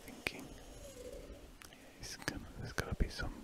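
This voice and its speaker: A man with a British accent whispers breathily, with no voiced tone. He rambles in an unhurried ASMR style, musing to himself in short fragments as he works at a desk. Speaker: ASMR artist. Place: indoors at a desk.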